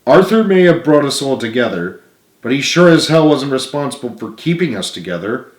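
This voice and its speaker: A man's voice: mid-range voice, big pitch swings, fairly quick, voice pushed up, lightly acted. A man reading as an earnest, pleading friend, echoey. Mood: encouraging. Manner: pleading, emphatic. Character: earnest, remorseful, encouraging man, loyal friend. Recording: roomy, echoing room sound.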